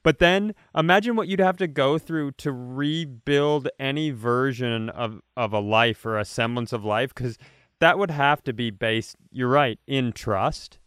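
Male comedy podcast host: smooth, clean voice, loose conversational riffing, casual swearing, dry recording. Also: mid-range voice, unhurried, no room sound. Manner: animated storyteller, acts out imagined quotes, swears casually. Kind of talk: casual comedic chat, improvised hypothetical riffs.

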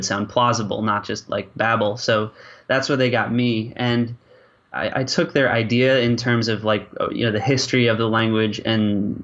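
A man's voice: mid-range voice, a bit bright. A man who grew up with French and English, answering interview questions conversationally as a linguist, often circling through asides. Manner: hesitant starts, peppered with "you know" and "like". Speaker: linguist and language creator being interviewed. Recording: slightly dull, lo-fi audio.

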